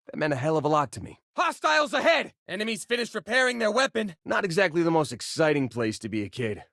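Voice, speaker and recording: An actor playing an easygoing, wisecracking young space miner in a video game, with an American accent and a casual, joking delivery. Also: male voice, a little high, sing-song, fast talker, vocal fry, a bit bright, dry recording, clean studio sound, exaggerated voice. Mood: wistful, candid, then urgent, combative. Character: easygoing, wisecracking male space miner who collects rocks. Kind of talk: conversational video game character dialogue.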